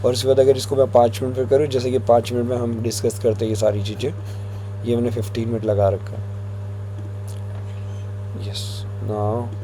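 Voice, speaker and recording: A man with a hoarse throat, walking viewers through stock chart levels in a relaxed tutorial, mixing in English phrases. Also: medium pitch, normal speed, long pauses, a little hiss or hum. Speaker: stock market analyst.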